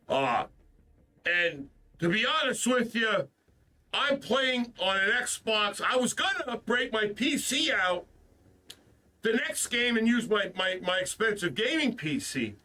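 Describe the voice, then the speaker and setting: A man hosting a gaming stream, still stuffed up after the flu, rants in a frustrated, profane way and keeps repeating himself. His voice sits high and bright. He sounds a little distant from the microphone. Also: big pitch swings, slow. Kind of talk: casual ranting stream talk.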